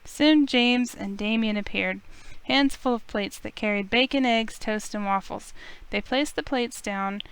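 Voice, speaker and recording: A woman with a smooth, clear voice, reading a visual novel aloud as expressive first-person story narration. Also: fairly high pitch, unhurried, no echo, poor audio.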